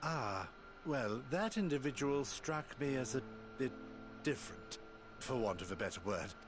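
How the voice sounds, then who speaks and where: A man's voice: mid-range voice, animated, a little slow, background noise, natural voice. A man playing a polite, curious scholar. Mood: courteous. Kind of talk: voiced game cutscene.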